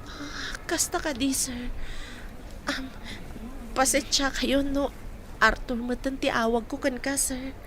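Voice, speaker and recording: A woman's voice: sits high, fairly even intonation, slow, pauses often, fairly bright tone, a little hiss or hum. A woman playing an earnest, polite young working woman in an audio drama.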